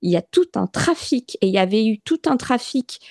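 Earnest, halting woman on dry mic. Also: on the high side, expressive, gravelly, a little mellow, no echo. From France. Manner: earnest, halting, many restarts and fillers. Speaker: interview guest. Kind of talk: radio interview. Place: radio studio.

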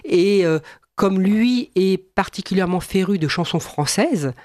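A woman's voice: mid-range voice, lively intonation, unhurried, warm tone, dry recording, clean studio sound. A woman singer being interviewed, talking hesitantly with frequent restarts and eager repeated agreement. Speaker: singer, guest. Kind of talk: radio interview.

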